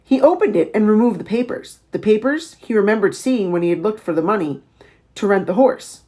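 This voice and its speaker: A woman narrating a children's novel aloud in an expressive storytelling style. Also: medium pitch, fairly quick. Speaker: audiobook reader.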